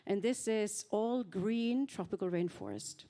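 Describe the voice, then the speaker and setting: A woman who speaks on a panel, telling her story in an earnest, reflective way with thoughtful pauses. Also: medium pitch, dark tone. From Norway. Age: 50s.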